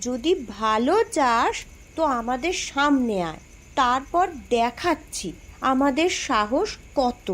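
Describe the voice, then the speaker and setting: A woman's voice: high voice, expressive, slow pace, fairly bright tone, a little hiss or hum, exaggerated voice. A woman voicing a bold young village princess in a cartoon, with a commanding, dramatic delivery. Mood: defiant, commanding. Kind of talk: cartoon voice acting.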